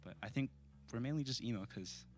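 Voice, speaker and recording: A man's voice: medium pitch, a little fast, faint music behind. A young man from the East Bay in his teens, the band's singer and guitarist, chatting casually and rambling with lots of fillers. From East Bay, California. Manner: relaxed, rambling with many fillers. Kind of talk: casual interview chat.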